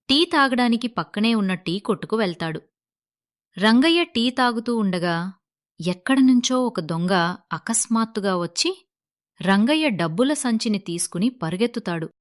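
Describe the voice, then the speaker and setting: A woman's voice: mid-range voice, no echo, clean studio sound. A woman narrating a scripted moral story as its storyteller.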